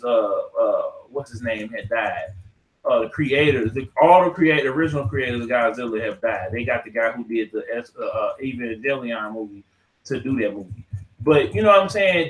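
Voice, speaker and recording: A man's voice: medium pitch, low-quality recording. An American man hosting a podcast, casually debating in a profane, slangy way, cutting in and repeating himself for emphasis. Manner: profane, slangy, argumentative, interrupting. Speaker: podcast host. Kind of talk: casual debate about movies and games.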